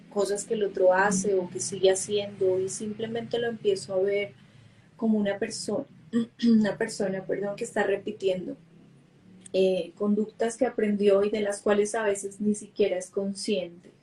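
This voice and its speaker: A woman psychologist co-hosting a live online talk, calm and measured, reading out questions from the audience. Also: medium pitch, a little hiss or hum, rough recording. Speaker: psychologist co-host. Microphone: video call.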